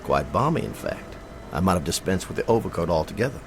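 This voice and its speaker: An actor playing a self-assured older colonel with a courtly, dry manner in a radio drama. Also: male voice, deep voice, sing-song, fast, creaky, a little hiss or hum, heavily acted.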